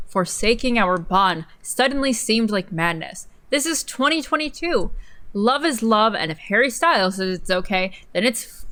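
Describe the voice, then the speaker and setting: A young adult woman co-hosting a livestream, reading an article dramatically with incredulous, slightly halting delivery and casual asides. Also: medium pitch, fairly bright tone. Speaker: co-host of a livestream.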